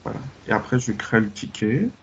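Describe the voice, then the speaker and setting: A man answering as a trainee, reciting a procedure haltingly as he searches for words. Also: fairly low pitch, big pitch swings, slightly rough. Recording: dull lo-fi, faint noise.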